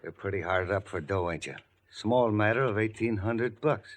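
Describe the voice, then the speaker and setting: Guy doing a shady old-radio gangster, deep voice, sly slangy tough talk, quietly menacing. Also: normal speed, slight background noise, close to an everyday voice. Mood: sly, probing. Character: shady gangster man, quietly menacing, offering murder money. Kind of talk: 1940s radio mystery acting.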